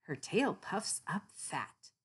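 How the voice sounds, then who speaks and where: A woman narrating a children's picture book in an expressive read-aloud style. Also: fairly low pitch, slow, husky.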